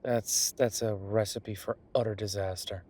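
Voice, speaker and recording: A man playing an easygoing, devoted boyfriend who is a small-town chef, talking casually and haltingly in an intimate, reassuring roleplay audio. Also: on the low side, steady tone, a little mellow, slight background noise, lightly acted. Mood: casual, wry. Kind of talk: intimate conversational roleplay acting.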